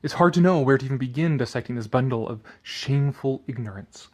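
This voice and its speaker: A man's voice: medium pitch, fast. A man giving a sardonic commentary and theatrical reading of an article, drifting into rambling asides.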